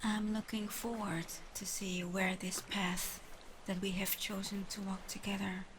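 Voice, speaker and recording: A woman voicing a proud, guarded but softening female water dragon in an ASMR roleplay, speaking softly and teasingly with breathy murmurs. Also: medium pitch, little pitch movement, measured pace, natural voice. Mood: warm, reflective. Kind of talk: ASMR roleplay, scripted character monologue. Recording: clear background ambience noise.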